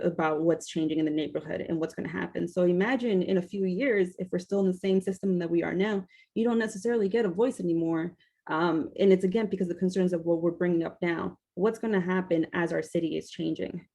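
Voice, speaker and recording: Gravelly low-voiced woman panelist. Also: fairly even intonation, fairly quick, warm tone. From Washington, D.C. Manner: hesitant, many fillers and restarts. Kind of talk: panel discussion, advocating.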